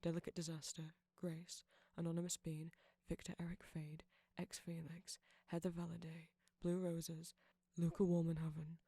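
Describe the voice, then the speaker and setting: Male audio creator, scripted sign-off read: breathy, little pitch movement, very dry close sound. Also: monotone, normal speed, hardly any pauses, dark tone, no room sound.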